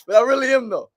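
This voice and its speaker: A man, hosting a casual livestream show, rambling and upbeat, tagging "right?" onto his points. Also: very high voice. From United States. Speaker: podcast host.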